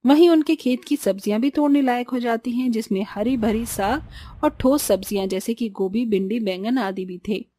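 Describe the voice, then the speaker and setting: A woman's voice: on the high side, moderate pace, warm tone. A woman reading scripted narration for a Hindi cartoon village story.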